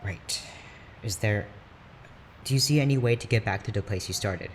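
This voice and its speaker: Man playing reserved, low-voiced research doctor. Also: a little hiss or hum, natural voice. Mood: clinical. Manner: formal, measured, clipped, deadpan. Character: reserved, clinical male research doctor, dryly blunt. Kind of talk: naturalistic audio drama acting.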